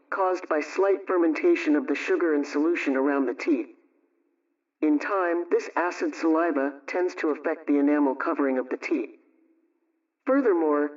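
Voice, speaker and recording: A man playing a practical 1920s radio homemaking aunt, giving measured, instructive advice from a script. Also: a little high, close to an everyday voice. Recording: muffled, distant radio-style sound.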